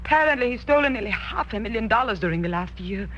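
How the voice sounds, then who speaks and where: Casting: imperious young Rani, formal and high-pitched, for vintage radio drama on dull, noisy recording. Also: female voice, expressive, a bit bright. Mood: earnest. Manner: formal, measured, regal. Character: imperious, guarded young Rani of a mysterious kingdom. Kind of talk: 1940s radio drama acting.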